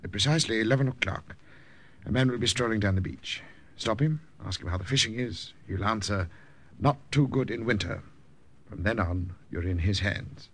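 A man acts as an authoritative spymaster in a vintage radio drama. His voice sits fairly low, and he issues precise orders in a commanding way. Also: moderate pace, natural voice. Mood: brisk, authoritative. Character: crisp, authoritative spymaster briefing agents. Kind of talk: 1950s radio drama acting.

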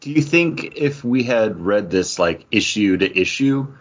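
A man's voice: on the low side, animated, unhurried. A man chatting casually on a podcast review, phrasing his thoughts in hesitant pieces. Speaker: podcast panelist. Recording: dull, lo-fi audio.

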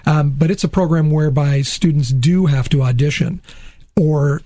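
A middle-aged man with a slightly raspy voice, an interview guest from a school, talking with many hesitations and restarts. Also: fairly high pitch, moderate pace, mellow, no echo. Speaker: teacher at an arts-focused high school.

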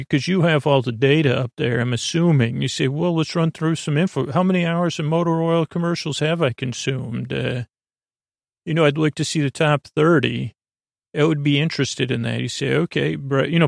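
A man hosting a bedtime podcast in a meandering, halting monologue, with a gravelly voice and a lulling, soothing manner. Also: mid-range voice, fairly even intonation, no echo, studio quality. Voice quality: gravelly, creaky. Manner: rambling, halting, self-interrupting. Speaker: podcast host.

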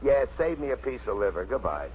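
An actor playing a harried, earnest police officer in a 1950s radio drama, urgent, insistent and given to stammering. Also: male voice, medium pitch, big pitch swings, fairly quick, close to an everyday voice. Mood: subdued. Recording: muffled, hissy 1950s radio broadcast.

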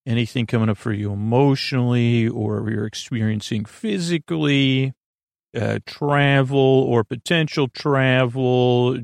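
Creaky-voiced, meandering man lulling listeners. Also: mid-range voice, no room sound, clean studio sound. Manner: meandering, halting, self-interrupting; lulling, soothing. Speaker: podcast host. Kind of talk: rambling bedtime sleep podcast monologue.

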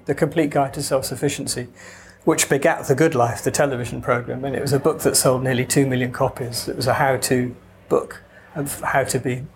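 A man's voice: mid-range voice, a little hiss or hum. A man from Wales with no Welsh accent, an author talking casually in an interview, wry and digressive with hesitant restarts. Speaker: author guest.

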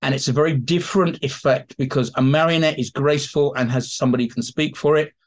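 A man's voice: fairly high pitch, fairly even intonation, fast. A man speaking as an expert guest, explaining theatre history in an informal, anecdotal way. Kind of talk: conversational explanation in a history interview.

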